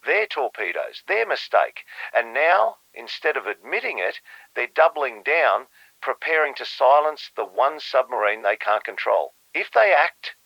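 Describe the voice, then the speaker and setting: A man's voice: medium pitch, very animated, gravelly, no room sound, heavily acted. Man as a grave government minister, muffled audio. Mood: urgent warning. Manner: measured, formal, urgent. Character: grave, conspiratorial male Australian foreign minister. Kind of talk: audio drama acting.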